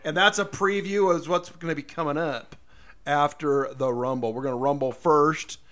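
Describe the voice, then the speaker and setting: A middle-aged American man hosting a casual solo radio show, rambling and folksy, with a slightly hoarse voice. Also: fairly high pitch, fairly even intonation, normal speed, fairly bright tone, soft background music. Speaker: radio and podcast host.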